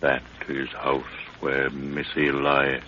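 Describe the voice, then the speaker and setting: A loyal, stoic warrior guide in an old radio adventure, with an extremely deep voice. He speaks very slowly in terse, broken pidgin English. The recording is dull and noisy, from the 1930s. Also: monotone, pushed and bright, exaggerated voice. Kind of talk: 1930s radio drama acting.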